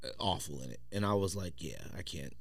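A man's voice: low voice, expressive, quick pace, vocal fry, breathy edge, dry recording. A man hosting a casual sneaker podcast, chatting in a slangy, conversational way into a close studio mic. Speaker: podcast host. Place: small podcast room or studio.

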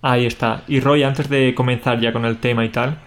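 A man's voice: mid-range voice, steady tone, normal speed. A man from co-hosting a casual podcast for learners, a bit sleepy, friendly and easygoing, talking over a video call. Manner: friendly, easygoing, talks to listeners. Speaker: podcast co-host. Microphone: recorded over a video call.

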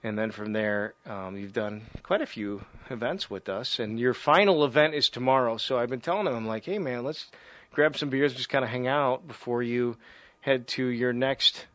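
A man's voice: medium pitch, normal speed, a bit bright, no room sound. A man in his forties hosting a casual, rambling chat in a gym, talking loosely with many fillers and laughs into a cheap condenser mic. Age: 40s. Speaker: podcast host. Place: gym, indoors. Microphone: cheap condenser mic with pop filter.

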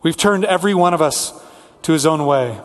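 Male pastor, slightly higher-pitched voice, earnest and rhetorical, preaching in an echoing church hall. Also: brisk, faint music behind. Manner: earnest, rhetorical repetition and listing. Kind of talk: sermon with prayer and scripture reading. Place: large reverberant church room.

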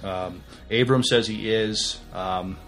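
A man co-hosting a podcast, sharing news in casual chat with a halting, hesitant delivery. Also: fairly low pitch, a bit bright. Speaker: podcast co-host.

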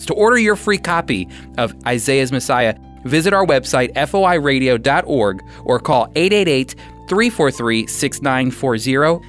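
A man giving a scripted radio sermon as host and Bible teacher, speaking earnestly with deliberate, emphatic phrasing. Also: mid-range voice, lively intonation, a bit bright, faint music behind.

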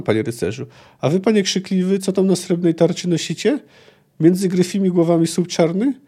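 A man's voice: on the high side, mellow. A man voicing a pompous, overconfident poet in a dramatic novel reading, with a haughty, declamatory manner.

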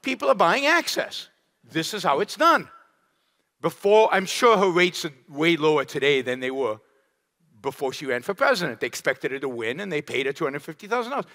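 A man giving a lecture and answering audience questions, animated and emphatic, firing off rhetorical questions. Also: fairly high pitch, fairly quick. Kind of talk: public lecture Q&A. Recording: long hall reverb.

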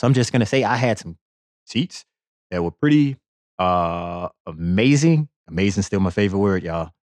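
A man's voice: mid-range voice, no echo. A man hosting a sports podcast, telling a playful, boastful story in a rambling comedic monologue with lots of repetition.

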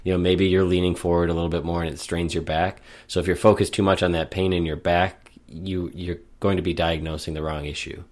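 A man co-hosting a running podcast in casual chat, hoarse and a little off from a lingering sinus illness. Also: low voice, flat delivery, fairly quick, few pauses. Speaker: podcast co-host.

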